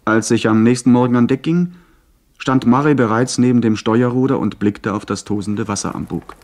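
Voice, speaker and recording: Guy acting earnest young gent narrator, mid pitch, literary radio play storytelling. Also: normal speed, darkish tone, close to an everyday voice. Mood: narrating.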